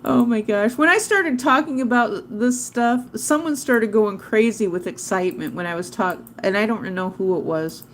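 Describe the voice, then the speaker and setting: A woman's voice: a little high, moderate pace, hardly any pauses, a little hiss or hum. A woman hosting a livestream, chatting casually and rambling off topic, often spelling words out letter by letter. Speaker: livestream host teaching drawing.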